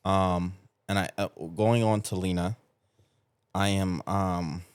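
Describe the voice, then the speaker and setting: A middle-aged man speaks in a deep, smooth voice, recorded close and dry. He chats casually but haltingly, pausing to find his words in a reflective way. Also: flat delivery, no room sound. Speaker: podcast participant. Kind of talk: casual chat.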